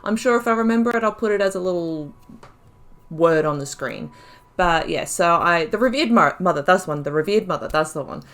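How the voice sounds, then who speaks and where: A woman hosts a video game playthrough in a slightly low voice. She is chatty and casual, thinking aloud. Her delivery has a giggly streak. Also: animated, a little fast, fairly bright tone. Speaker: gaming YouTuber, host of a playthrough. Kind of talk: casual gameplay commentary.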